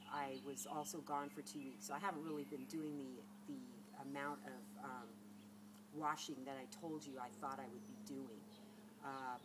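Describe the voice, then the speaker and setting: A middle-aged woman, nasal from a cold, chatting casually to camera and rambling with many fillers and restarts. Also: deep voice. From California. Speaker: beauty vlogger. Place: outdoors against a sunlit wall. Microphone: camera on a tripod. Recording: noisy outdoor camera audio.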